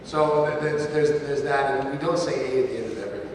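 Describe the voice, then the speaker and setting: A man from Canada who works as a voice actor, chatting in an animated, playful way on a convention panel. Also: medium pitch, fast. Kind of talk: unscripted panel chat to an audience. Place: large convention hall, panel before an audience. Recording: very echoey convention hall from far off, loud noise.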